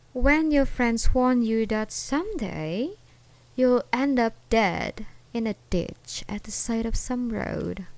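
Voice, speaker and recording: A woman playing an obsessed, unhinged ex-girlfriend in an ASMR roleplay, taunting and possessive in a sweetly menacing, giggly way with drawn-out words. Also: a little high, lively intonation, poor audio. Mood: menacing, gloating. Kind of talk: ASMR roleplay acting, one side of a conversation.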